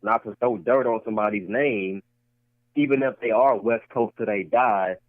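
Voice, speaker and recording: A man's voice: lowish voice, moderate pace, no room sound. Man, podcast co-host, casual debate, repeats points, tinny lo-fi audio. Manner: repetitive, argumentative, restarts points.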